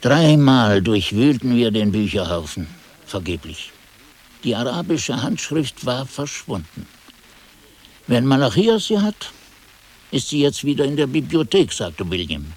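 An actor playing a monk chronicler, narrating his memories in a grave, measured way for a German radio drama. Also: mid-range voice, very animated, a little mellow.

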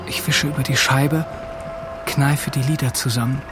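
Actor as watchful soldier narrator over music. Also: male voice, mid-range voice, fairly even intonation, moderate pace, close to an everyday voice. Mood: watchful, sombre. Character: observant young soldier narrating what he witnesses. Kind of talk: literary present-tense radio drama narration. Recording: music clearly behind the narration.

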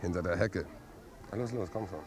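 A deep-voiced crook played by a man in a 1950s radio drama. He is blunt and commanding, a tough protector who talks in plain street phrases. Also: brisk, natural voice. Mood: threatening. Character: tough, protective male crook posing as a costumed guest.

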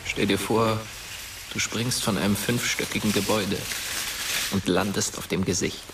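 A man's voice: on the low side, steady tone, normal speed, far from the mic, background noise, lightly acted. An actor playing a mischievous, cocky dead teenage boy in a radio drama. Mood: sardonic, ominous.

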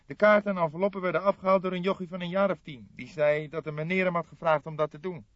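A man voicing an eager young male detective in an audiobook, with a brisk, matter-of-fact manner. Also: high-pitched, fairly even intonation, dry recording, pitched above the performer's own voice, lightly acted. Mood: businesslike. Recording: dull, dry lo-fi audiobook recording.

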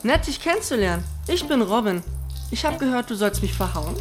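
A woman's voice: fairly high pitch, very animated, a little fast. A woman voicing a cheeky, self-assured schoolboy who teases and talks boldly. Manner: cheeky, teasing, brash. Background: music.

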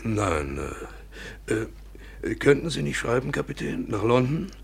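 An actor playing a bitter, obsessive European trader in a German radio play, gravelly and husky, halting and insistently repeating himself. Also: male voice, medium pitch, very animated, full character voice. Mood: pleading, obsessive. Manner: brooding, halting, insistent repetitions.

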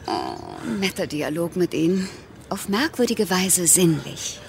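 An actress playing a flirtatious, self-assured, helpful stranger in a radio play, with a playful manner. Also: female voice, fairly low pitch, lively intonation, measured pace, gravelly, noisy background. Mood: teasing. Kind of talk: German radio play acting.

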